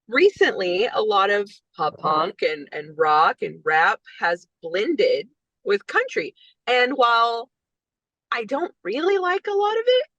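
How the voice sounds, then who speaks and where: Texan band woman for a casual interview, bright, lively delivery, slightly dull lo-fi sound. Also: medium pitch, no room sound. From Texas. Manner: animated, laughs between phrases, chatty fillers. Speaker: band member.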